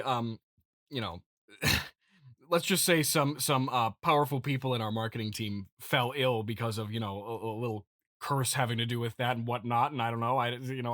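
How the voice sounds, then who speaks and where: A man improvising a comedic monologue as a smug, petty billionaire boss, speaking in a halting, rambling, mock-pompous way. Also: a little high, pushed and bright, no room sound, clean studio sound. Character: smug, petty billionaire CEO boasting to investors. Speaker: comedy podcast host.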